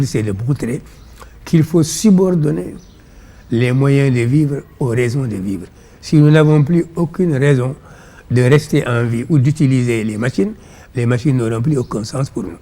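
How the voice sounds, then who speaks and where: A man with a Senegalese accent and Pulaar as his first language, explaining ideas in measured, didactic phrases as an interview guest. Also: medium pitch, warm tone. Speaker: interview guest, philosophy author.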